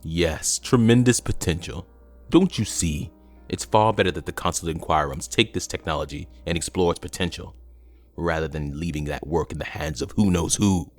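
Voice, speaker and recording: Male actor as scheming government strongman, very low voice, commanding, impatient, smoothly menacing, audio drama, faint music behind. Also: moderate pace. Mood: scheming, persuasive.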